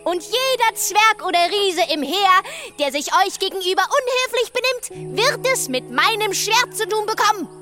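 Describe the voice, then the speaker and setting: Woman doing a super high tiny brave mouse guy, pompous and chivalrous, kids audio drama, music under it. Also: animated, normal speed, sharp tone, heavily acted. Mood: courtly, proud. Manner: pompous, courtly and valiant. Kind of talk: German children's audio drama.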